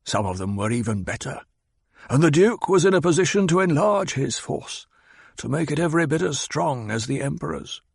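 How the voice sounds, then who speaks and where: A man voicing a cold, sardonic old advisor who speaks in clipped, cutting sentences, pitched higher than the narration. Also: animated, moderate pace, vocal fry, slightly rough, no echo, studio quality, heavily acted. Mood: scornful. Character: cold, scornful old male mentat advisor.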